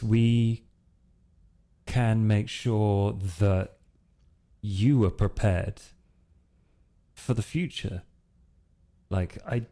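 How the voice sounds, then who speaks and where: An earnest, distracted vampire, played by a man at a game table, speaking slowly in a low, creaky voice. He meanders hesitantly, with gentle curiosity. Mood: earnest, caring. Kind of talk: in-character tabletop roleplay.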